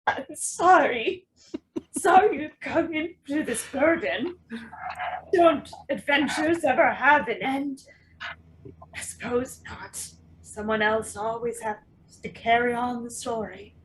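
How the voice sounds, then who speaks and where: Over a clearly noisy background, a woman plays an elderly hobbit uncle in a fantasy drama reading. The voice is high and unhurried, fond and wistful, with a weary halt in it. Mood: sorrowful, weary. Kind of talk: script table read.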